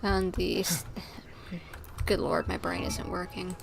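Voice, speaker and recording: A woman giving casual livestream commentary on a game, musing and thinking out loud. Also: on the low side, big pitch swings, slow pace, creaky, a little hiss or hum.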